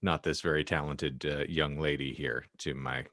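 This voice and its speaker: A man's voice: a bass voice, steady tone, moderate pace, a bit bright, no echo, clean studio sound. A man co-hosting a podcast with casual comedic banter, speaking in florid, theatrical, wordy phrases. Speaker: podcast co-host.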